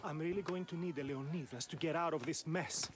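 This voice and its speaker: A male actor plays a hapless young gambler in a video game. His high voice is plaintive and nervous, set against faint outdoor ambience. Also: fairly quick, noisy background, close to an everyday voice. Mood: despairing. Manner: anxious, plaintive. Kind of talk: video game dialogue acting.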